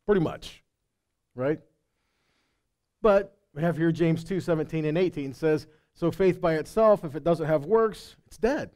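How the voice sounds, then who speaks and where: Male Bible teacher, middle-aged. Soft-edged, warm tone, conversational yet emphatic sermon delivery on a headset mic. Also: a little high, unhurried. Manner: conversational, checking in with listeners. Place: indoor stage in a meeting room or hall. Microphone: headset microphone.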